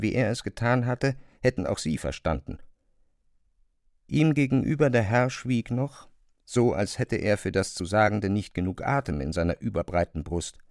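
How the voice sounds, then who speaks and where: Low-voiced man narrating literary fiction. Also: darkish tone, no echo, clean studio sound. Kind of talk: literary audiobook narration, dramatized radio reading.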